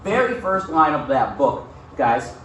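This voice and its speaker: A man's voice: fairly high pitch, very animated, a little slow, fairly bright tone, heavy reverb. Man, schoolteacher leading a lesson, instructional delivery, distant from mic, faint room noise. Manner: instructional, checking in with short questions. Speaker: school teacher. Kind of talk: classroom lesson with read-aloud. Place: school classroom.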